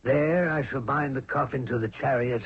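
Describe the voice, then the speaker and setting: An actor playing a cold-blooded, scheming royal courtier in a 1970s radio drama, persuasive and deliberate. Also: male voice, medium pitch, husky. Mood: cold plotting. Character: scheming, cold-blooded male courtier plotting murder of the pharaoh. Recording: narrow, tinny 1970s radio recording.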